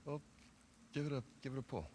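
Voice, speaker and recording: An actor playing a teasing, easygoing treasure hunter. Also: male voice, medium pitch, expressive, fast, dark tone, slight background noise, natural voice. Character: teasing older-brother male treasure hunter.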